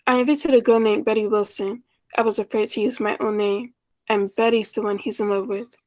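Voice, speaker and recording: A woman's voice: medium pitch, fairly even intonation, brisk, a little mellow, no room sound, close to an everyday voice, rough recording. A woman acting an anxious, romantic schoolteacher in her mid-thirties in a 1940s style radio play, earnest and hesitant. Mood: flustered, anxious.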